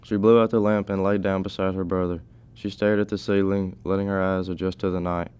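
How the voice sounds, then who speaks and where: Teenage male, story narrator, deep smooth voice, flat delivery, scripted reading. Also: fairly quick.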